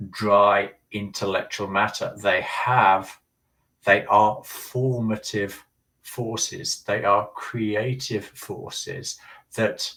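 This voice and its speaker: A man's voice: on the low side, fairly bright tone, recorded from a distance, poor audio. A man earnestly explaining ideas at length in a casual talk, often restarting his sentences. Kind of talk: casual discussion.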